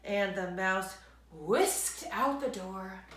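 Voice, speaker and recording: Animated woman reading a children's story, echoey room. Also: medium pitch. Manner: dramatic emphasis, drawn-out words, hushed asides. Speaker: read-aloud storyteller for children. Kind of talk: expressive children's story reading with asides to listeners.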